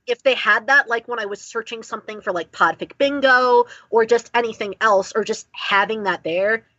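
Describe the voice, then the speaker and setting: A woman with a husky, medium-pitched voice co-hosts a casual podcast chat. Her track is very dry and slightly dull and lo-fi. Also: moderate pace, sharp tone, no room sound. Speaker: podcast co-host.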